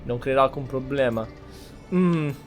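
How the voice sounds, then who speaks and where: A man's voice: mid-range voice, moderate pace, soft background music. An Italian man giving casual gameplay commentary, musing aloud as he plays. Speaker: gamer, let's player.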